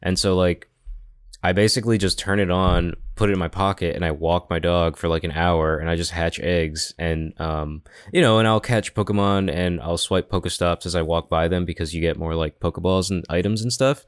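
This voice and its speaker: Low-pitched man in casual gaming chat. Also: steady tone, moderate pace, clear, resonant tone, dry recording, clean studio sound. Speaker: podcast co-host.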